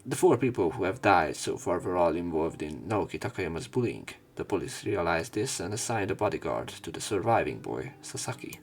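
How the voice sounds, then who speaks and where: A man's voice: sits low, very animated, fairly quick, few pauses, faint music behind. A man reading visual novel text aloud as a let's play narrator, sometimes stumbling and rereading lines.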